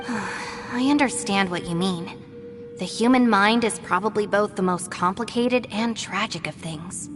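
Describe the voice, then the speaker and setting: A woman voicing a calm, sensible young female deputy of a gang, level and weary in manner. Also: medium pitch, measured pace, lightly acted. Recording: game audio, reverb and music. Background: game music.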